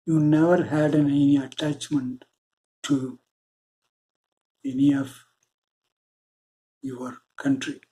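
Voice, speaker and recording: A man with an Indian English accent, giving a slow, earnest spiritual monologue with deliberate pauses. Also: fairly high pitch, mellow, low-quality recording. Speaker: spiritual channel host.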